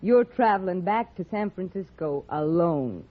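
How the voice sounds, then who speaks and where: Husky, feisty ranch owner. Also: female voice, fairly low pitch, expressive, a little slow. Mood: pleading, insistent. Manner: forceful, pleading, dramatic. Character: feisty, bossy female western ranch owner. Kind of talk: old-time radio western acting. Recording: muffled old radio recording.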